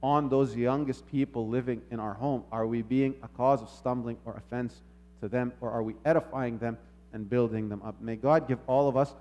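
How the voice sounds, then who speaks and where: A man giving a sermon in a measured, reflective manner, posing rhetorical questions and repeating phrases as he teaches. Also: mid-range voice, fairly even intonation, warm tone. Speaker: preacher.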